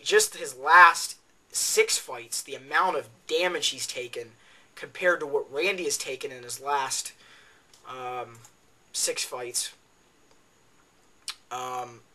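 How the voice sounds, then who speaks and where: Raspy, tired young man breaking down fights. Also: mid-range voice, pushed and bright. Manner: talks off the cuff with many ums and uhs. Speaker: MMA video blogger. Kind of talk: casual spoken fight analysis.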